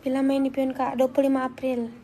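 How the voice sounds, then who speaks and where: High-voiced young woman, congested with flu. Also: completely flat delivery, fast talker, warm tone. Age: young adult. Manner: informal, slangy, chatty. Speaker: livestreamer answering viewer comments. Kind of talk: casual chat.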